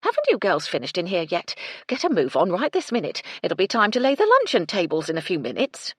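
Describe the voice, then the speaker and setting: A woman's voice: fairly low pitch, huge pitch swings, a little fast, breathy edge, dry recording, clean studio sound, pitched above the performer's own voice, exaggerated voice. Woman doing a stern, bossy housekeeper. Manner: commanding, sharp.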